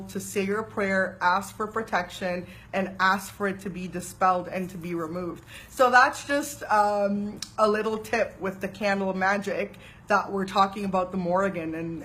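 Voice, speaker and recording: A woman talks through spiritual practices in an easy, conversational way, with a voice in the middle range. She is animated and chatty, drifting into fillers and quick "right?" check-ins as she goes. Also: medium pitch, a little hiss or hum. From Trinidad and Tobago. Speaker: spiritual teacher. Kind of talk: casual spiritual talk to camera.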